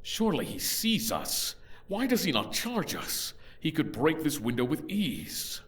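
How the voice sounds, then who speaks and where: An alarmed nobleman played by a male actor in a fantasy audio drama. He sounds urgent and questioning, with a fairly high voice. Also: huge pitch swings, measured pace. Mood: anxious.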